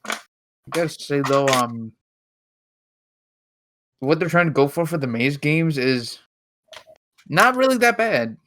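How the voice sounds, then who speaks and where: A teenage boy, a guest in a casual podcast chat, halting and searching for his words. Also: on the high side, lively intonation, fairly bright tone, a little hiss or hum. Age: teens.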